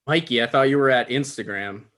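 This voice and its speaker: A man's voice: mid-range voice, brisk, fairly bright tone, lightly acted. Man playing an awkward, mild-mannered show host. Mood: wary. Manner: mild, hesitant, conversational. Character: awkward, pedantic, well-meaning male late-night show host. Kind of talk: comedy table read.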